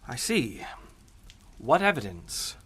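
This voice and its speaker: A frightened sea captain protests haltingly in a radio thriller. He is slow and stammering, indignant but giving way, his pitch leaping wildly, in a dry studio. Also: very animated, a bit bright, slightly rough, no echo, exaggerated voice. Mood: shocked disbelief. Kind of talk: suspense radio drama acting.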